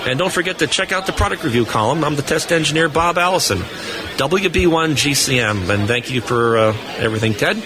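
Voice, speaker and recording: A man's voice: on the high side, animated, fairly quick, crowd noise. An American man speaking earnestly as a guest in an informal live radio interview, making appeals to listeners. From New England, United States. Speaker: interview guest, test engineer. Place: booth on busy convention floor. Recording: noisy convention hall floor, live broadcast.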